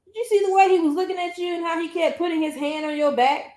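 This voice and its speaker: A woman's voice: extremely high pitch, fast talker, darkish tone, echoey room, pitched above the performer's own voice. A woman reading aloud the lines of a heartbroken young woman whose voice is thick with tears. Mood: upset.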